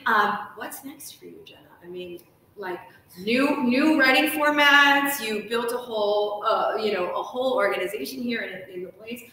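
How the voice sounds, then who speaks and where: Woman, 30s, live show host. Bright, forward voice, effusive delivery, very reverberant lounge. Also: fairly high pitch, normal speed. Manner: effusive, gushing. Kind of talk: enthusiastic live event hosting. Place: large hotel lounge, indoors. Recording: echoey room, faint noise.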